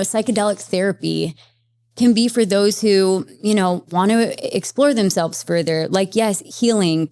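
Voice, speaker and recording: A woman therapist speaking as a podcast guest, explaining at length in a lively, conversational way with many fillers. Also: medium pitch. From Las Vegas, Nevada, USA. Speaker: guest, psychedelic integration therapist. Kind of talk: conversational interview.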